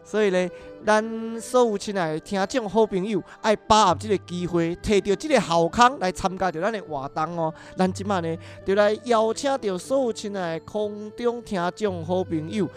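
A woman hosts a podcast in a chatty way with a Taiwanese accent. Her pitch is medium and she chimes in often. Faint music runs underneath. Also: moderate pace, few pauses. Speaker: podcast host.

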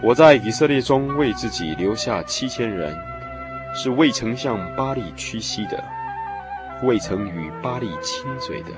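Solemn God over loud flute music. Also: male voice, mid-range voice, huge pitch swings, measured pace. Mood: solemn, commanding. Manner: solemn, authoritative. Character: God, solemn male divine voice, commanding. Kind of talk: radio drama acting. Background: loud woodwind music.

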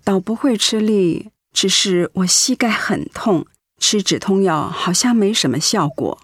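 An actress playing a weary, devout middle-aged pastor's wife in a radio drama, ailing but calm and accepting. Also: female voice, mid-range voice, measured pace, breathy edge, no room sound, clean studio sound. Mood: tired, plain. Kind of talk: Mandarin radio drama acting.

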